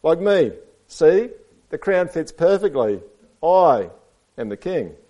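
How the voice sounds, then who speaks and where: Guy doing a snooty goat from a picture book, pitched way up, mocking, full of himself. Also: big pitch swings, slow pace, dark tone. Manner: mocking, emphatic. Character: vain bearded goat, mocking and boastful.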